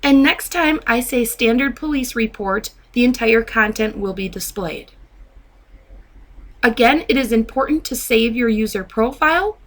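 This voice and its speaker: A woman with a fairly high voice narrates a software training tutorial. She speaks as an instructor, methodically guiding the listener through each step. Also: a little slow.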